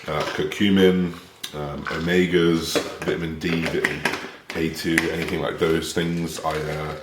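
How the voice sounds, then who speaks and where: Raspy low-voiced man with half West Country accent. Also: level tone, a little slow, background noise. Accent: half West Country English. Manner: chatty and upbeat, swears casually. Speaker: fitness coach and bodybuilder. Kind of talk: casual vlog talk to camera. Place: home, then gym. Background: music.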